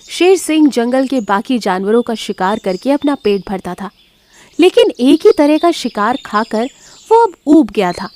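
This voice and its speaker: A woman's voice: a little high, a little mellow, slight background noise. A woman giving scripted narration of a children's moral story.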